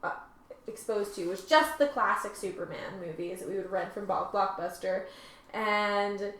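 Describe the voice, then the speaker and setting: A woman's voice: medium pitch, normal speed, fairly bright tone. Echoey woman in casual chat. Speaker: co-host.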